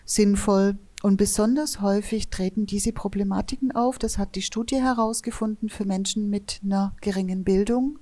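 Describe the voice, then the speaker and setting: Woman, soft dark tone, expert interviewee, thorough explanations. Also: medium pitch, steady tone, normal speed, hardly any pauses. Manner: thorough, explains with everyday examples. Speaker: health literacy expert.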